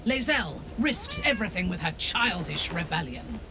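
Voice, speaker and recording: An actor playing a haughty, disapproving adventuring companion in a fantasy video game, dry, formal and scolding. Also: male voice, high-pitched, wildly swooping pitch, normal speed. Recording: ambient noise behind.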